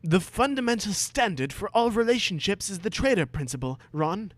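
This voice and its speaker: A man's voice: high-pitched, big pitch swings, moderate pace, dry recording, pitched above the performer's own voice. A man voicing an earnest, pompous schoolboy who lectures with great self-assurance in a dramatic reading. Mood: earnest, lecturing. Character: earnest, pompous schoolboy free-market zealot.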